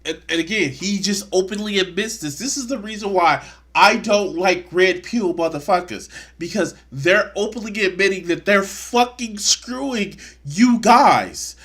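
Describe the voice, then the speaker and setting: A bright, sharp-toned man streams his reaction to a video, swearing freely and sounding indignant. He speaks a little high for a man and leans into sarcasm. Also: unhurried, husky. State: congested. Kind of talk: casual reaction commentary.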